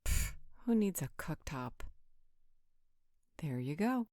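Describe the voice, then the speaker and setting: Woman as female vampire, low-pitched, haughty, sardonic, flirtatious, audio roleplay, close and dry. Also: big pitch swings, takes it slowly, warm tone, dry recording, heavily acted. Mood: smug, playful. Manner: dry, sarcastic.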